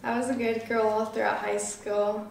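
A woman's voice: on the high side, level tone, normal speed, creaky. A nineteen-year-old woman chatting casually as an interview guest, peppering her talk with filler words. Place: small living room, seated on a couch. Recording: roomy, distant mic, faint noise.

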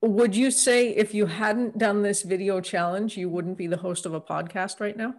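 A woman's voice: mid-range voice, steady tone, normal speed. A middle-aged woman chatting on a video call, asking teasing questions and giggling. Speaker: accountability partner, interviewer. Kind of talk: casual video-call chat. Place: home room. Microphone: video call, desk mic.

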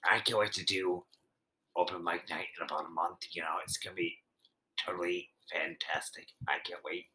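Casting: silly, hapless yeti puppet performed by a man, low and very bright, repetitive slacker talk for a puppet comedy. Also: fairly even intonation, moderate pace, rough recording. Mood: dreamy contentment.

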